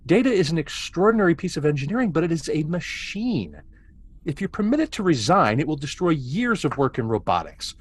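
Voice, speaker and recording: A man playing an arrogant, insistent science commander in a table read, argumentative, with a husky voice. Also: on the high side, sing-song, brisk. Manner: argumentative, pressing. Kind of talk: amateur table read of a sci-fi script.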